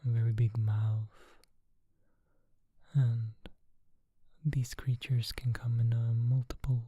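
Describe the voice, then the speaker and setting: Whispering ASMR storyteller, flat and very slow, breathy, dry close sound. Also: mid-range voice, no room sound, muffled recording. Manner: whispering, slow and soothing, thinking aloud.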